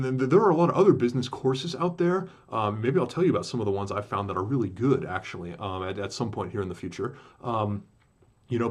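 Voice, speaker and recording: Deep-voiced male podcaster, quick and rambling, full of restarts. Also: breathy edge. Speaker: podcast host. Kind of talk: casual rambling monologue.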